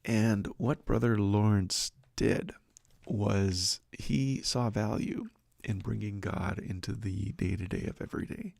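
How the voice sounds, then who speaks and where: A man's voice: fairly low pitch, takes it slowly, creaky, a little mellow, no echo, clean studio sound. A middle-aged man hosting a devotional livestream and podcast, talking in a casual, rambling, self-deprecating way with lots of restarts.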